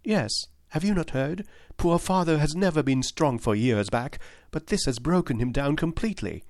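A man's voice: on the high side, lively intonation, normal speed, a little mellow, no echo, clean studio sound, pitched above the performer's own voice. A man reading aloud, voicing an earnest, distressed young woman who pleads insistently in a raised voice. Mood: earnest pleading.

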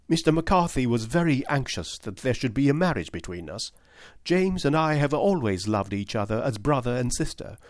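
A man's voice: medium pitch, a little mellow, dry recording, clean studio sound, voice pushed up, natural voice. A man reading aloud, voicing an earnest, distressed young woman who pleads insistently in a raised voice.

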